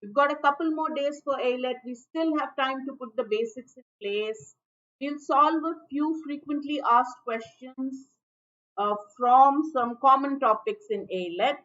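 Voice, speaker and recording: A woman's voice: high voice, measured pace, a bit bright. A woman teaching for a law entrance exam in a friendly, chatty online lesson. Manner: friendly, chatty, with restarts and fillers. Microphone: low-level stream mic. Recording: dull, muffled livestream audio.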